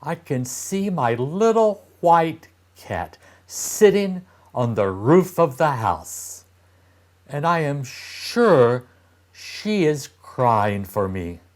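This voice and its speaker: A man's voice: a little high, big pitch swings, extremely slow, voice pushed up, heavily acted. A man voicing a plucky young boy in a storybook read-aloud, soothing and earnest. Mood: innocent pleading. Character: gentle, plucky young boy, reassuring brother.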